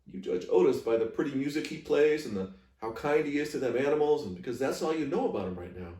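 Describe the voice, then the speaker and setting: A man's voice: medium pitch, expressive, fast talker, warm tone, voice pushed up, lightly acted. Man reading as a folksy old woman, echoey room. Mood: wise, instructive. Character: kindly old Southern woman, plainspoken and wise. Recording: echoey room, distant microphone.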